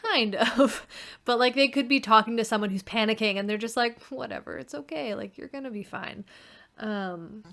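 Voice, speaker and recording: A woman's voice: a little high, normal speed, creaky, a bit bright. A woman talking casually as a writer on a podcast, in a hesitant, reflective manner. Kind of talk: casual chat.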